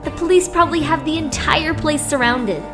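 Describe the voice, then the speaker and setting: High-pitched, mouthy, sarcastic hostage played by a woman. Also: moderate pace, music in the background, lightly acted. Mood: taunting. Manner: sarcastic, taunting.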